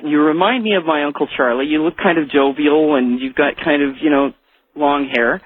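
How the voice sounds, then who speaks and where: Guy phoning in to a radio show, kinda high voice, rambly and unsure, tinny line. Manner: halting, rambling, hesitant. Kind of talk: casual phone-in conversation. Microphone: telephone.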